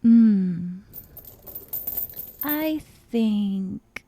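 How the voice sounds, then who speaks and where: Woman acting a slow, breathy, sadistic yandere girlfriend. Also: medium pitch, expressive, no room sound, heavily acted. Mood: playfully sadistic. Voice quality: soft, breathy. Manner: slow, breathy half-whispers broken by giggles. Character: obsessive, sadistic young yandere woman, sweetly menacing captor. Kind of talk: ASMR roleplay, intimate dramatic monologue.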